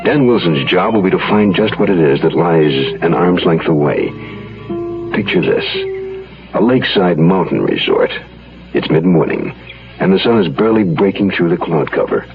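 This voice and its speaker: A man's voice: low voice, sing-song, moderate pace, gravelly, over music. A man doing dramatic scripted narration and announcements for a radio drama. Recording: muffled old radio broadcast.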